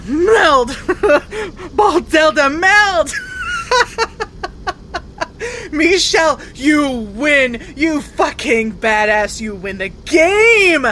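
Over clear vehicle noise, an exasperated woman rover driver in an audio drama. Her pitch moves around a lot as she mutters sarcastically to herself. She sounds sweary, irritated and natural. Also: huge pitch swings, very slow, long pauses, pushed and bright, full character voice. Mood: elated, shouting.

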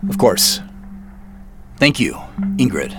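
An actor playing a hesitant, apologetic professional man in a naturalistic audio drama, with a halting, awkward way of talking. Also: lowish voice, big pitch swings, noisy background. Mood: polite, hesitant. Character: Joseph, hesitant, apologetic middle-aged professional man with dad jokes.

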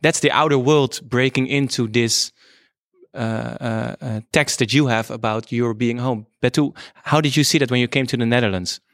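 A man moderating a panel who puts questions to the guests in a measured tone. His voice is of middle pitch and recorded dry and close. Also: a bit bright, no echo. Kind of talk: panel discussion.

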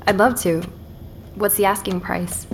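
A woman's voice: medium pitch, little pitch movement, a little slow, creaky, background noise. A woman with an American accent playing a curious, polite investigator in a naturalistic audio drama. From New York.

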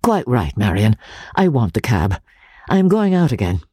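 A man's voice: medium pitch, very animated, normal speed, dark tone, husky, no room sound, studio quality. A man voicing a grave, secretive young Victorian husband, tender but resolute, in a restrained audiobook manner.

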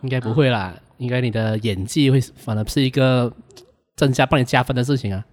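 A man's voice: mid-range voice, fairly quick. A man speaking casually as a guest in a podcast chat. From Malaysia.

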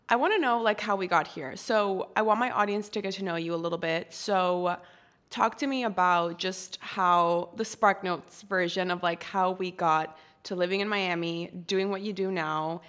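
A woman's voice: on the low side, steady tone, moderate pace, a bit bright. A young woman hosting a podcast, chatting with a guest in an enthusiastic, filler-heavy way. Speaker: podcast host interviewing a guest. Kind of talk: casual podcast conversation.

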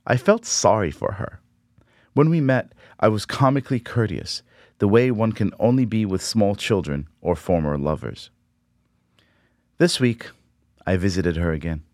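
A middle-aged man, Hebrew speaker, reading a personal essay aloud in a measured, reflective, wry way. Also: sits low, no echo, studio quality. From Israel.

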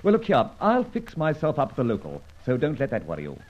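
Theatrical, swooping gentleman adventurer. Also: medium pitch, huge pitch swings, fast. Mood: concerned. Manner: jaunty, theatrical, clipped gentlemanly phrasing. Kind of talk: old radio serial acting with first-person narration. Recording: muffled old radio serial recording.

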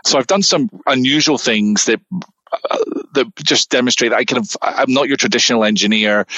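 A man's voice: mid-range voice, bright, forceful tone, dry recording, dull audio. A middle-aged man from Scotland with a Scottish accent, a guest in a conversational interview, talking energetically and often repeating words as he starts sentences. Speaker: guest engineering executive.

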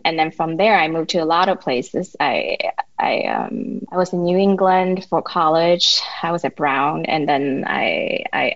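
A woman with Mandarin as her first language speaks as a podcast guest in a somewhat low voice. She muses aloud, pausing and restarting often. The sound is dry and a little muffled. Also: no echo. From Taiwan. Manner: reflective, halting with many restarts and fillers. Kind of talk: casual interview.

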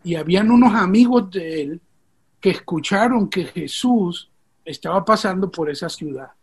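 A male host speaks in an earnest, heartfelt way as he retells a story. His voice sits high for a man and has a husky, breathy grain. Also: animated, moderate pace. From Venezuela, raised in Puerto Rico. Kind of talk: casual conversation with storytelling.

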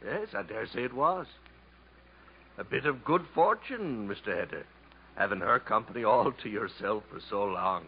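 An actor playing an insinuating, hearty-drinking bungalow owner with mock-polite, domineering manner in 1950s radio style. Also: male voice, fairly low pitch, lively intonation, normal speed, husky. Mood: sly, insinuating. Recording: dull, noisy vintage radio recording.

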